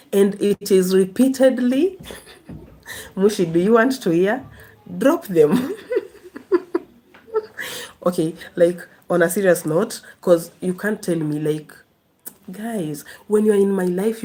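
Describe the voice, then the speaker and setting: A chatty woman hosts a relaxed live stream, talking straight to her viewers and laughing often. Her voice sits a little low and sounds mellow and warm. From Kenya. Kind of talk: casual live chat reading viewer comments.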